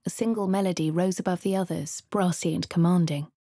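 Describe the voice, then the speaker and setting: A woman with a posh English accent voicing a refined young adventurer, crisp and composed in game voice lines. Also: fairly low pitch, steady tone, a little fast, warm tone, no room sound, clean studio sound. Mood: narrating. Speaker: voice of a player character. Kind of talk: game voice lines, first-person narration.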